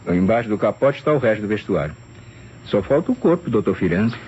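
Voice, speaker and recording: A man's voice: fairly low pitch, big pitch swings, a little fast, darkish tone, husky. An actor playing a courteous, talkative male secretary in an old radio drama. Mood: solemn. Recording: dull, hissy old radio recording.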